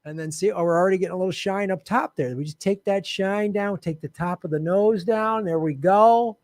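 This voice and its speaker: A man hosts a live show in a casual, joking manner, poking fun at himself with playful bravado. His voice sits a little high for a man. The sound is dry and close, with no room echo. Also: a little fast, a little mellow. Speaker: host of a live political show. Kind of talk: casual livestream chat. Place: home studio with bright lights.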